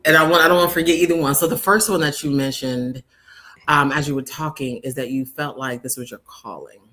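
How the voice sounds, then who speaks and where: A woman hosting a live interview show, animated and playful, with frequent affirming hums. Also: deep voice, a little fast. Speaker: host.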